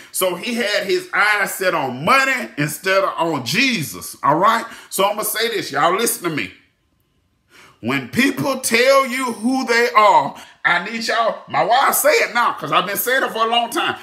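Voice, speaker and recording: A middle-aged preacher with a high, bright voice that swings from near-shouts to hushed asides. He repeats his lines for emphasis. Recorded on a phone held at arm's length, with the echo of a room at home. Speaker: pastor. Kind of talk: sermon. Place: room at home with ceiling lights. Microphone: phone camera at arm's length. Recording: echoey room.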